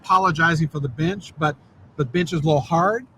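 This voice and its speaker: A slightly hoarse man hosting an interview outdoors, friendly and rambling, often repeating words. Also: fairly high pitch, brisk, a bit bright. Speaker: host. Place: outdoors on a bench by a street. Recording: street noise behind.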